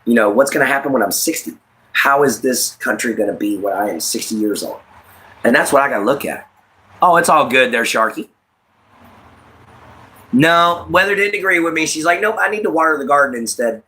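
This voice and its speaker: A man's voice: medium pitch, animated, a little fast, pushed and bright, rough recording. An American man in his late thirties hosting a casual livestream, giving rambling, repetitive opinions and addressing listeners directly. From United States. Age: late 30s. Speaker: livestream host.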